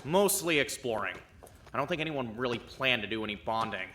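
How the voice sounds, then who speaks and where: A man's voice: medium pitch, expressive, brisk, a bit bright, a little hiss or hum, close to an everyday voice. A man playing a weary, skeptical doctor who speaks in a dry, doubtful way. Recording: long reverb.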